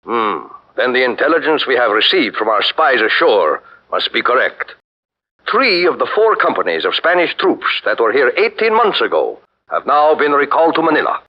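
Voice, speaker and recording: A commanding Dutch captain. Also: male voice, medium pitch, sing-song. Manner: measured, authoritative, giving orders. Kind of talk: 1940s radio acting. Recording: dull lo-fi 1940s radio broadcast.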